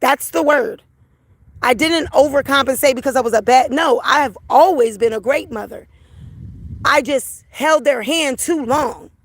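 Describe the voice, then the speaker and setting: Hoarse, high-voiced woman, teary and raw. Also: measured pace. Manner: raw, emotional, repeats herself. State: hoarse from crying. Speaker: livestream host. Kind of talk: emotional livestream talk to viewers.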